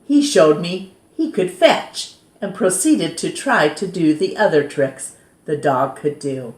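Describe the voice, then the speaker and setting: A woman's voice: fairly low pitch, lively intonation, slow. A woman voicing a fond, folksy male horse doctor reminiscing in a storybook read-aloud. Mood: fond, proud. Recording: roomy, echoing sound.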